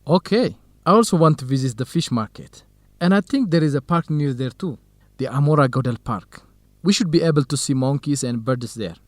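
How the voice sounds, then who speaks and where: A man's voice: a little high, animated, dry recording, lightly acted. Kind young man, acted, dry studio sound. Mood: friendly, agreeable. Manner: measured, simple phrasing for English learners. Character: kind, modest young Ethiopian male researcher. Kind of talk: scripted educational radio drama dialogue.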